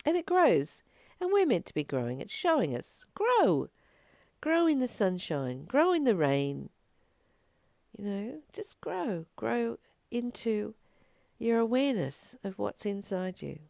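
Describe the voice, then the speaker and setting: A woman gives an informal spiritual talk at a slow, unhurried pace. Her pitch sits in the middle and swings widely as she earnestly leans on repeated words for emphasis. The sound is close and dry. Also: gravelly, dry recording. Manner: earnest, expressive, emphatic repetition. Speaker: spiritual teacher and channel host.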